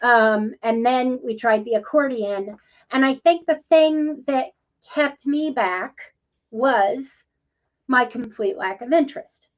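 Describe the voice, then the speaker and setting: Female co-host, rambling and chatty, slightly high voice, muffled sound. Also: takes it slowly. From Ohio, USA. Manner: chatty, rambling, with many ums. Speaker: podcast co-host. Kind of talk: casual interview conversation.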